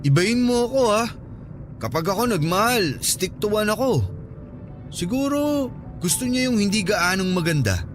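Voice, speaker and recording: A man's voice: high-pitched, very animated, normal speed, long pauses. An actor playing an earnest, flirty coworker smitten with his friend in a Filipino radio drama, coaxing and soothing. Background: background music.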